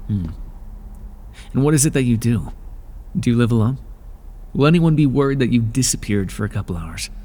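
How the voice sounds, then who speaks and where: A man voicing a proud, weary male god of the underworld in an ASMR roleplay, with a dry, aloof manner and a quiet curiosity. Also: mid-range voice, lively intonation, moderate pace, long pauses, natural voice. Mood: curious.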